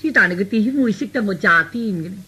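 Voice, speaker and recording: Husky, stammering, hapless young fellow. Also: male voice, high voice, animated, fast, sharp tone, exaggerated voice. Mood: dejected. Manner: stammering, repetitive, whiny. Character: hapless, flustered young man, a scheming reluctant fiancé. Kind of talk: Cantonese radio comedy acting.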